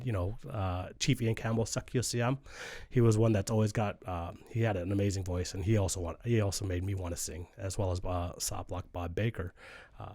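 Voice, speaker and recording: A man in his forties, a guest in a conversation, telling relaxed rambling stories and laughing often. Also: low-pitched, no echo. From United States.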